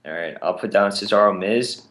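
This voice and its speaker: A man co-hosting a casual podcast, chatting and making predictions in a relaxed way with frequent fillers. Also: on the low side, fairly quick. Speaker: podcast co-host. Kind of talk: casual wrestling podcast chat.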